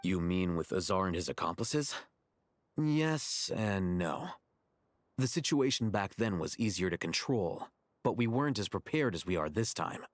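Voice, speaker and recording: A calm, deep, unflappable scholar. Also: male voice, normal speed, no room sound. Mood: calm, dry. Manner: calm, matter-of-fact, dry. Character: composed young male scholar, dry, unflappable. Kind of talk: game cutscene voice acting.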